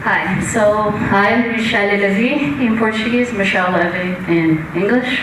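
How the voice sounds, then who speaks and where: A woman's voice: mid-range voice, steady tone, normal speed. A woman from Brazil introducing herself on a conference panel with a joking, self-deprecating manner. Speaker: company co-founder and CEO on a panel. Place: conference hall. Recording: echoey hall, distant mic, noisy.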